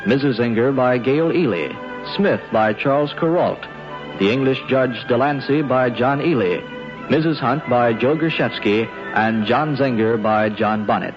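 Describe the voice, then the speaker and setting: A male radio announcer reads in a formal, measured 1950s style. His pitch is average for a man. The recording is dull, with music clearly playing behind him.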